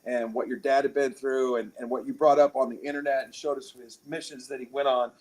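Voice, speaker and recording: Fast-talking older male co-host. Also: medium pitch, steady tone, a little mellow, rough recording. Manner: quick, laughing, appreciative. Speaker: podcast co-host, military veteran.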